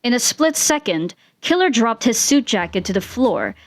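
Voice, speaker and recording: A woman reading fan fiction aloud as an expressive narrator, with music behind her. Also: fairly high pitch, moderate pace.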